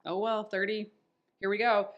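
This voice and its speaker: A woman's voice: medium pitch, level tone, moderate pace, lo-fi sound. A woman in her thirties hosting a podcast, talking in a chatty, upbeat way and telling stories. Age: 30s. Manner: chatty and upbeat, tells stories with quoted asides. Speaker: podcast host. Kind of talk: casual chat.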